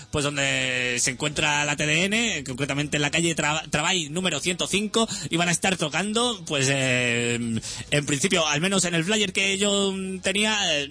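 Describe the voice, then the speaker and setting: A young man the chats on a music radio show, filling gaps with "eh" and restarting sentences. His voice sits a little high and sounds bright and forceful. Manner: hesitant, full of "eh" fillers and restarts. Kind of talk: casual rambling radio chat.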